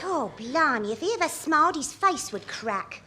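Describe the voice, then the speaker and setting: A woman's voice: high-pitched, big pitch swings, moderate pace, heavy background noise. A woman playing a bored young office secretary, chatty and aggrieved, with an English accent in a British radio sitcom. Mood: fed up. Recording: studio radio comedy recording.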